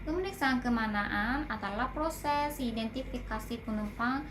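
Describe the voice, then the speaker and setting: A woman's voice: fairly high pitch, measured pace. A woman from Korea with a Korean accent, giving a scripted talk to viewers in careful, formal learner's phrasing, recorded with noise behind her. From South Korea. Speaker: video blogger, airport security worker. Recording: clear background noise.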